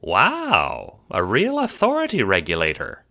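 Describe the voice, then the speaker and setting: A man plays a little shelled creature who serves as mayor in an audio drama. The voice is lifted well up and bright, with an earnest, grandiose, emphatic delivery. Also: lowish voice, wildly swooping pitch, measured pace, pitched above the performer's own voice, heavily acted. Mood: impressed. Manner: grandiose, old-fashioned wording, emphatic. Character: short, earnest, pompous male insect-shelled mayor. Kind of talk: audio drama character acting.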